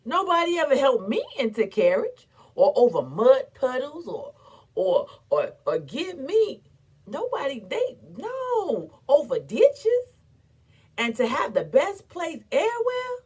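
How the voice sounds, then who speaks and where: A woman giving a dramatic, theatrical recitation as an impassioned, defiant, weary but proud orator, with emphatic repetition and long pauses. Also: high-pitched, wildly swooping pitch, a little mellow. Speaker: performer reciting a historical speech.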